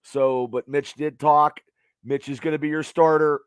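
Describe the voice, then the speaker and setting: A hoarse man with a fairly high voice hosts a casual sports podcast, speaking close to the mic in a dry, dead room. His voice is worn from cheering, and he halts often, filling gaps with ums and restarting sentences. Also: level tone, no room sound. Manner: halting, many fillers and restarts. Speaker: podcast host.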